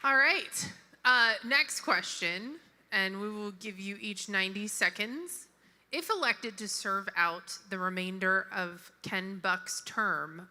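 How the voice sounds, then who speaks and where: Woman, debate moderator, very slow, extremely bright sharp voice, long reverb. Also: medium pitch. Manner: deliberate, announcing. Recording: long reverb in a large venue.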